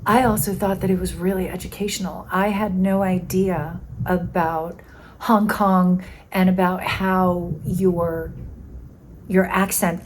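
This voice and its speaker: A woman's voice: fairly low pitch, a little mellow, a little hiss or hum, rough recording. A woman giving casual, appreciative spoken feedback on a film as an audience member.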